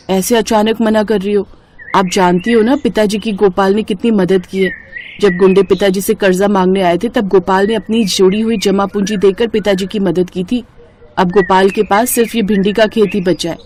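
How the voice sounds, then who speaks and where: A woman's voice: medium pitch, steady tone, normal speed, a little mellow, slight background noise, natural voice. A woman voicing a sensible, worried younger sister in a Hindi cartoon story, pleading and reasoning.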